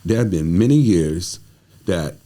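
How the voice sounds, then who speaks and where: A man's voice: low-pitched, expressive, a little slow, dark tone. A man chatting casually as a podcast guest, telling personal stories and emphatically repeating his phrases. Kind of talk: animated casual conversation, personal storytelling.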